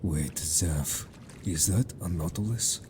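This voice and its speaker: A man playing a stern, blunt merfolk companion in an audio drama, formal and measured in speech, suspicious of humans. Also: deep voice, background noise. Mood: urgent, demanding.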